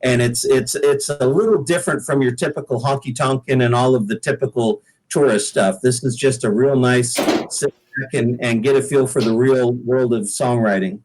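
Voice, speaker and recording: A man's voice: mid-range voice, normal speed, a little mellow, poor audio. A middle-aged American man from Oregon chatting casually as an interview guest, easygoing and jokey, on a laptop video call. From Oregon, United States. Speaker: guest singer-songwriter.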